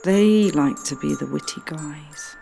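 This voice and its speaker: A woman playing a wry, brooding figure in a poetic radio play, speaking deliberately. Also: sits low, creaky, warm tone, full character voice. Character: wry figure brooding over the witty guys. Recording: long reverberant hall, mallet music behind.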